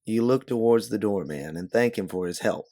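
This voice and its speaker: A man's voice: lowish voice, animated, normal speed, warm tone. A man narrating a scripted story in the second person, as its author and storyteller.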